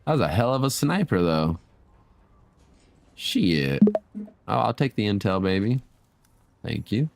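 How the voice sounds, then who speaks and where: A man giving casual live commentary as he streams a game, laid-back, slangy and quick to swear. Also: on the low side, big pitch swings, creaky, faint music behind.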